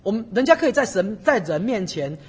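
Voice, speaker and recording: A man preaching in Chinese and animatedly telling a story, pausing for an interpreter. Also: high-pitched, breathy edge. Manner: animated storytelling, acting out dialogue. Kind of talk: sermon in Chinese with consecutive interpretation.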